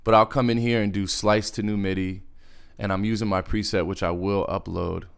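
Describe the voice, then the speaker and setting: A man's voice: on the low side, fairly even intonation, moderate pace, vocal fry, smooth. A man giving a casual music production tutorial in laid-back slang, rambling off into asides as he goes. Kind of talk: casual screen-recorded tutorial. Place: indoors, voice-over on a screen recording. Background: music from the software.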